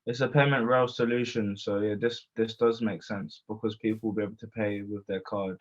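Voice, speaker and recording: A man, co-hosting a casual webinar and cutting in with slang and lively asides. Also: lowish voice, a little fast. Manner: animated, interjects with slang. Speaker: co-host. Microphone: video call mic. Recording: lo-fi call audio.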